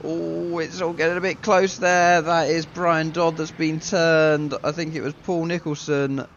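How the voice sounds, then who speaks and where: A man's voice: on the high side, little pitch movement, a little slow, a bit bright, muffled recording, a little hiss or hum. Man commentating a sim race. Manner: dry self-mocking humour, casual. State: a bit ill, deeper voice than usual.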